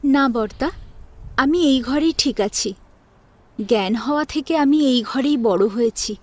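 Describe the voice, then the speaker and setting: Woman acting a high-voiced, self-respecting young woman. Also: lively intonation, measured pace. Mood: polite firmness. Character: self-respecting young woman, quietly wounded, firm with family. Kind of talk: Bengali audio story acting.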